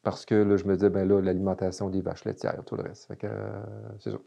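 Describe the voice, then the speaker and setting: A man's voice: sits low, level tone, fast talker, vocal fry, mellow, lo-fi sound. A man in his late fifties with a Québécois French accent, a guest in a casual interview, talking with many fillers and restarts. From Quebec.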